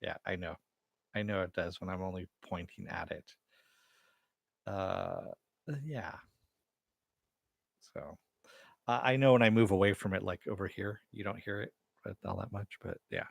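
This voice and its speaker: Low-voiced man rambling on a dry mic. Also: fairly even intonation, unhurried, gravelly, pauses often, dry recording. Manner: rambling, chatty, talks to live chat. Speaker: show host reviewing a TV episode. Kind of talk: casual live-stream chat and recap. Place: home room. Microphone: headset mic with headphones.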